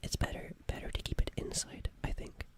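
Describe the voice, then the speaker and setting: A woman voicing a gentle, flustered alien nurse in an ASMR roleplay, speaking soothingly and hesitantly and softly repeating her phrases. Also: brisk, lightly acted. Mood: reassuring, concerned.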